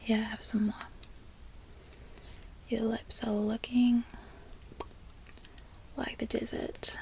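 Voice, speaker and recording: A woman with an Australian accent, an ASMR creator softly whispering repeated trigger words. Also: on the high side, little pitch movement, slow pace, gravelly, a little hiss or hum.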